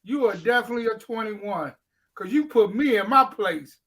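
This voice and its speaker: A man's voice: sits high, animated, moderate pace, fairly bright tone. A man on a comedy panel, joking and acting out quotes.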